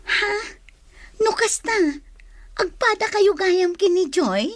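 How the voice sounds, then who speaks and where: A woman's voice: extremely high pitch, lively intonation, slow, dry recording, full character voice. An actress with an Ilocano Filipino accent playing a teasing, frank, nosy woman who gives advice in a radio drama.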